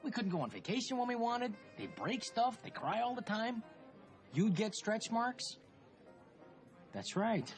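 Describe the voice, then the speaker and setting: A whiny, swooping, selfish crook. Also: male voice, very high voice, very animated, a little slow, pauses often, heavily acted. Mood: glib, dismissive. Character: selfish, evasive crooked businessman facing prison. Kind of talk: live-action comedy film acting. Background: music.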